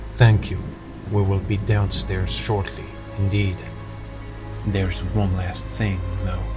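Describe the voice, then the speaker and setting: A man reads scripted first-person fantasy narration in a fairly low voice. His storytelling is measured and deliberate. Loud music runs underneath him.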